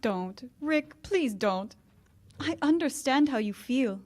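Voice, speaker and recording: An actress playing an earnest, pained young former lover, hesitant and pleading, in 1940s radio drama style. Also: female voice, high-pitched, animated, slow pace, a little mellow.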